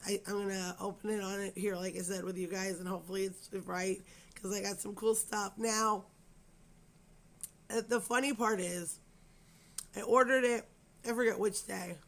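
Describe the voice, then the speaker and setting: A woman hoarse from a cold, her voice raspy and scratchy. She talks to her viewers at home in a loose, rambling way, full of fillers and apologies. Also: mid-range voice, steady tone, moderate pace. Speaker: crochet channel host. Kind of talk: casual chat to camera.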